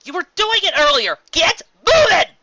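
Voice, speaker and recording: A reader voicing a brash, impatient young female pegasus pony in a storybook narration, exclaiming forcefully. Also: very high voice, very animated, moderate pace, no room sound, highs cut off, pitched above the performer's own voice, heavily acted. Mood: frustrated, demanding.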